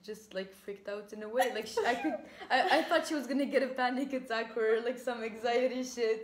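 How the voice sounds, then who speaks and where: A young woman hosting a casual chat, talking quickly and giggling, switching between English and Arabic. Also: on the high side. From from Paris. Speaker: vlog host. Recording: echoey room with faint ambience.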